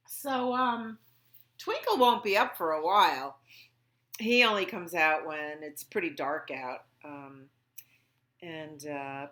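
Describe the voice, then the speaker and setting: A woman hosting a library storytime for young children, speaking in simple phrases with questions and pauses as she chats and reads aloud. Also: lowish voice, a bit bright. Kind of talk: children's storytime with songs, rhymes and read-aloud.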